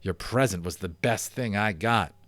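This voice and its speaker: A man's voice: lowish voice, normal speed, a bit bright, dry recording, close to an everyday voice. A man reading a children's book aloud, voicing a secretive, earnest young schoolboy who answers in hesitant, guarded bursts. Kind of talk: storybook character voice.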